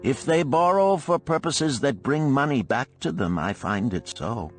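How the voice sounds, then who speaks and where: A man's voice: mid-range voice, expressive, a little slow, soft background music, pitched above the performer's own voice. A man voicing a shrewd older gold lender in a storybook, speaking in an archaic, emphatic, declamatory way. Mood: wry, cautionary.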